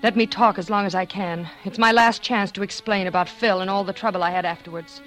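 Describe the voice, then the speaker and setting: A woman's voice: medium pitch, fairly even intonation, a little fast, close to an everyday voice, poor audio. A cold-blooded, scheming wife played by a woman in an old 1940s radio thriller. She confesses with theatrical swings between sweetness and menace. Music runs behind the vintage recording. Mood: desperate, tense. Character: scheming, cold-blooded former actress poisoning her husband. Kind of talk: 1940s radio suspense acting, first-person confession.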